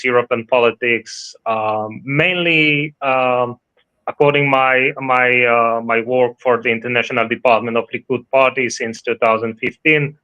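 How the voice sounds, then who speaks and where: Halting Israeli-born man, bright dull sound. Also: mid-range voice, clean tone. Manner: halting, many fillers and restarts. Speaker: guest. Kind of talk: interview answers.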